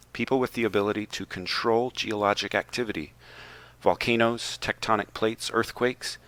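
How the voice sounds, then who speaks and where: A man's voice: fairly low pitch, pushed and bright. A man on a podcast reading a prepared book summary aloud, with a wry, tongue-in-cheek delivery and joking asides.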